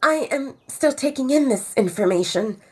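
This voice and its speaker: A woman's voice: sits high, animated, moderate pace, husky, voice pushed up. Woman as a guarded young daughter, restrained, curt. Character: guarded, resentful young bride-to-be, alpha's daughter.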